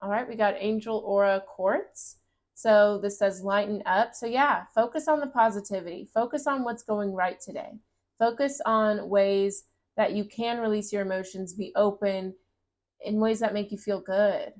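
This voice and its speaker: A woman talks through a tarot reading in a casual, encouraging way, reassuring the listener as she goes. Her voice has a slight raspiness from talking a long time. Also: medium pitch, steady tone, moderate pace. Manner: gentle, encouraging, reads card text aloud. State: voice getting raspy. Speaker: tarot reader.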